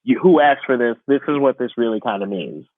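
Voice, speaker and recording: A man's voice: medium pitch, expressive, fairly quick, no echo. Man, tinny phone-like audio. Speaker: podcast co-host. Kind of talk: casual conversational podcast chat. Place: remote, away from studio.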